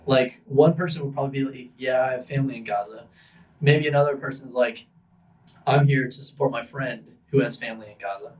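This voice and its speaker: Young male student co-host, easygoing, slangy, thinks aloud, mid-pitched, mic set a little back. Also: a little fast, a little mellow, distant mic, rough recording. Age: young adult. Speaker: podcast co-host, university student. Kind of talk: casual chat.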